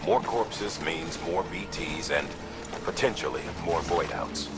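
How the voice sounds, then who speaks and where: An actor playing a stern, measured male mission commander giving formal, deliberate briefings in a video game. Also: a bass voice, over loud music. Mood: grave.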